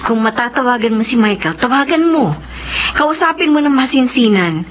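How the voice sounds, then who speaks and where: An actress playing a caring, seasoned middle-aged overseas worker giving gentle counsel in a Filipino radio drama. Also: female voice, fairly high pitch, lively intonation, normal speed, a little hiss or hum, close to an everyday voice. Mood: concerned, soothing. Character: calm, caring older woman, seasoned overseas worker friend. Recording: muffled radio sound with music.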